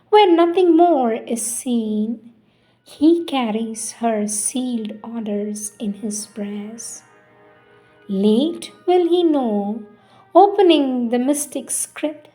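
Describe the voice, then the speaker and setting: A woman reciting spiritual poetry in a solemn, measured, deliberate way with pauses between phrases. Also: fairly high pitch, a little mellow. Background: music.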